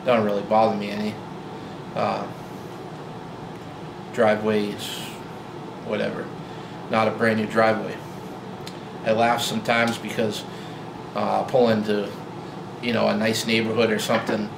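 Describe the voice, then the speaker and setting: A man in his forties talking casually to camera about his old truck, relaxed and rambling with lots of fillers and restarts, filmed in a garage. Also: fairly low pitch, unhurried, vocal fry, long pauses. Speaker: vlogger. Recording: roomy garage with loud hum.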